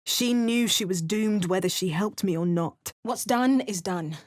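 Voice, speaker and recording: A woman voicing a young adventuring spellcaster in video game voice lines, with dry, wry asides and crisp incantations. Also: mid-range voice, measured pace, natural voice.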